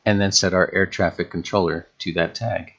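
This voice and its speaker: Male tutorial host, very low register with heavy fry, measured instructional screencast delivery. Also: steady tone, fairly bright tone, lo-fi sound. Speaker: game development tutorial host.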